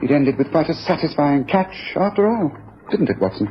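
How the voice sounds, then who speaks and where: An actor playing a brisk, self-assured detective with a decisive, explanatory manner in a period radio drama. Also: male voice, fairly high pitch, sing-song. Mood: explanatory, satisfied. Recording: dull old radio recording, faint hiss.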